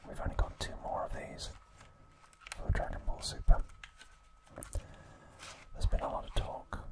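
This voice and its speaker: Slow, whispering man doing ASMR. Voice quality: soft, breathy whisper. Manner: slow, deliberate, short gentle phrases. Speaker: ASMR channel host. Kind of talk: whispered ASMR narration and trivia.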